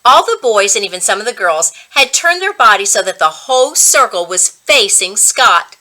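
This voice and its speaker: A woman reading a children's book aloud as a reading coach, with expressive, dramatic storytelling. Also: mid-range voice, moderate pace.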